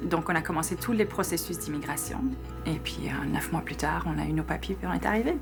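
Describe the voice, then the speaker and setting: A woman's voice: sits low, quick pace, gravelly. A woman from Belgium, giving a personal testimonial in an interview. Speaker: international trade director. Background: music bed.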